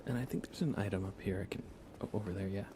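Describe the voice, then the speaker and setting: A man doing relaxed ASMR game commentary, casually rambling and thinking aloud as he plays. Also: low voice, quick pace, background noise. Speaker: livestreamer.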